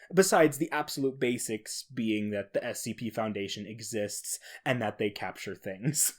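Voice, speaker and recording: A man's voice: medium pitch, a little mellow. A man hosting a podcast alone, explaining things in a casual, informal, conversational way. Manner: informal, conversational, with occasional swearing. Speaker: podcast host. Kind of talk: casual solo explainer on horror fiction.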